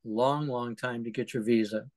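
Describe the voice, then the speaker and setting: A man's voice: mid-range voice, steady tone, moderate pace, crowd noise. A man interviewing a guest in conversation, bluntly pushing back with short remarks.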